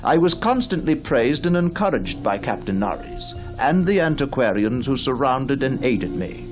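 An actor in a mid-century radio drama playing a cultured, inquisitive gentleman heir, speaking in a formal, measured, theatrical way. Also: medium pitch, sing-song, lightly acted. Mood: proud, reflective. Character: cultured, inquisitive gentleman heir restoring his ancestral home. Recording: old radio drama recording with music behind.

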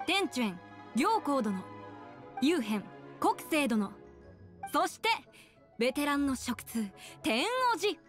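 A poised young female announcer in an anime game. Her high voice is formal and ceremonious, with measured phrasing. Light music sits underneath. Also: sing-song, heavily acted. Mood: ceremonious, bright.

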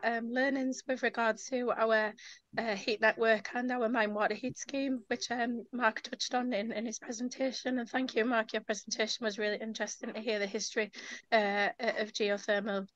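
A young woman, a council energy manager giving a webinar talk over a video call, slightly croaky from a sore throat, chatty and informal with frequent ums. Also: fairly high pitch, fairly bright tone, no echo. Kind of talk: webinar presentation. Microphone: headset on a video call. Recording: dull, lo-fi video call audio.

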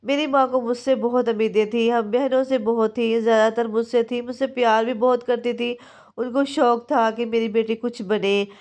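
Woman, thirties, Pakistani Urdu accent, hoarse sore throat, chatty and confiding, home vlog, phone mic. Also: on the high side, fairly even intonation, normal speed, a little mellow. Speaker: home cooking vlogger. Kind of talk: casual vlog chat and voice-over. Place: small room at home, indoors.

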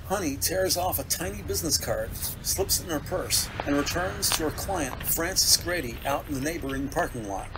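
A man's voice: on the high side, animated, moderate pace, few pauses, background noise. A man reading scripted narration for a detective audio drama. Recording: music under the voice. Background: dramatic score music.

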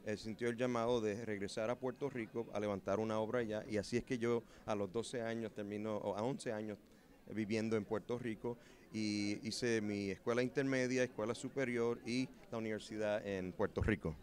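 Earnest musician with a handheld mic. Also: male voice, on the low side, steady tone, fairly quick, a little mellow. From New Jersey, USA. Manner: earnest, with frequent hesitations. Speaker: musician and producer. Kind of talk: interview answers. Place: expo booth, indoors.

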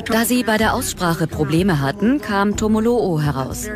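A woman's voice: medium pitch, animated, moderate pace, over music. A woman reading a scripted documentary voice-over.

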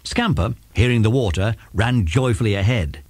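A man's voice: on the low side, moderate pace, slightly rough, no room sound. A man reading scripted narration for a children's audiobook drama.

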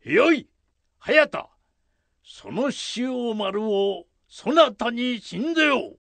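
Lordly, gravelly samurai, high and emphatic. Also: male voice, very animated, slow pace, fairly bright tone, no room sound, exaggerated voice. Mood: satisfied, magnanimous. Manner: archaic lordly speech, emphatic. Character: commanding, proud old samurai lord slaying a monster. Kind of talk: radio drama acting.

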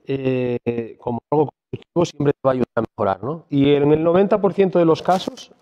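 A mellow, low-key man in his forties speaks on stage into a handheld mic. He talks haltingly, restarts phrases and keeps checking in with a questioning tag. His voice rings in a large hall with a long tail, and the sound is dull. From Asturias, Spain. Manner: halting, repeats words, tags lines with "¿no?". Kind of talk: conference talk on stage.

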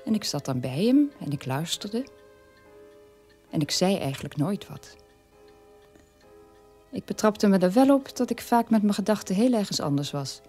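An actress playing a sober middle-aged daughter of a war criminal, telling her past in a measured radio-play monologue with a husky voice. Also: medium pitch, sing-song, mellow, faint music behind. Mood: sober, reflective.

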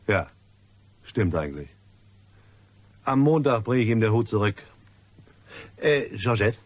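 An actor playing a scheming, irritable French gentleman with a guilty secret in a radio crime play, speaking in a curt, evasive, nervy way. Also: lowish voice, sing-song, unhurried, no echo. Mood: guarded, insistent. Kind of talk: radio crime drama acting.

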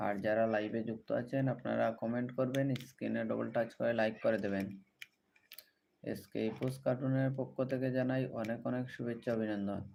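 A man's voice: medium pitch, fairly even intonation, a little fast, gravelly, darkish tone. A man hosting a livestream, greeting viewers and repeating set-phrase appeals to them. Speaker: livestream host. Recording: noticeable background noise.

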